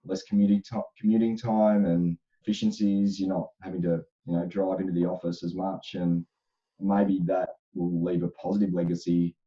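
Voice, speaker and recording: A man's voice: low-pitched, level tone, moderate pace, dark tone, highs cut off. A man speaking as an HR expert on a panel. His voice is slightly crackly, and he answers thoughtfully with frequent restarts. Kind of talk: casual panel discussion.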